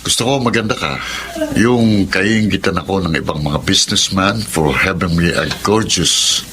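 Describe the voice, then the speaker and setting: A man's voice: low voice, moderate pace, crowd noise, natural voice. An actor playing a cruel, belittling businessman husband in a Tagalog drama, condescending and mixing in English. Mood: condescending, controlling. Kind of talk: Tagalog television drama acting.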